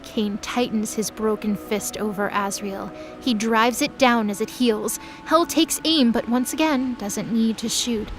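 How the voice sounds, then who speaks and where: A woman's voice: on the high side, a little slow, few pauses, over music. A woman delivering dramatic scripted narration as the narrator of an audio drama.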